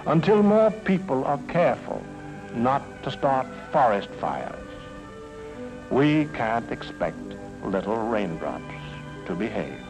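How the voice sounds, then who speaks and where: Slow, dramatic American man narrating vintage film. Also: mid-range voice, sing-song, poor audio. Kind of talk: dramatic scripted narration, mid-century educational film. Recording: old film soundtrack with music.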